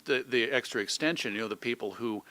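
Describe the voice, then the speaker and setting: A middle-aged man from suburban Chicago giving reflective, measured answers as an interview guest, carefully qualifying his points. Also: medium pitch, bright, forceful tone, no echo. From suburban Chicago, United States. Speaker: podcast guest, magazine editor and radio host.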